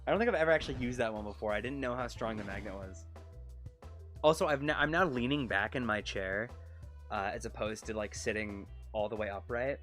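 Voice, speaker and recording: A man with a Canadian accent chatting casually on a game stream, rambling and talking quickly, seated at his desk close to a mic. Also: lowish voice, fairly bright tone, soft background music. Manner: rambling, quick-talking, self-conscious about his pronunciation. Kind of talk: casual live-stream chat over gameplay. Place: seated at his desk, leaning back in a chair. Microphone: desk mic set close to his mouth.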